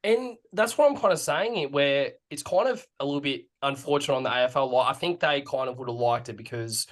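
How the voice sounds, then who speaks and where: Croaky young Australian man chatting footy. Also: medium pitch, fairly quick. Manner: relaxed, swears casually, many fillers. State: slightly croaky voice. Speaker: podcast co-host. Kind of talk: casual sports chat.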